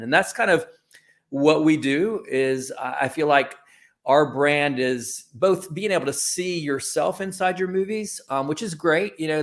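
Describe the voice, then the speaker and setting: A man speaking as an interview guest, giving informal, discursive answers and often rephrasing himself as he goes. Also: medium pitch. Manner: informal, discursive, self-correcting. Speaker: guest, creative company founder.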